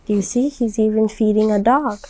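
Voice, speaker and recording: A woman's voice: medium pitch, brisk, noisy background. A woman reading a picture book aloud to young children, explaining simply and putting questions to the listeners. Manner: simple, instructive phrasing with frequent questions. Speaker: volunteer children's book reader.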